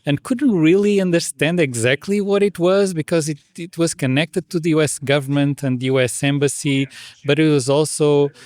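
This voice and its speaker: A middle-aged man from Portugal, answering interview questions in a measured, thoughtful way with frequent restarts. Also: on the high side, darkish tone, no echo. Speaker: association president. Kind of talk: conversational interview.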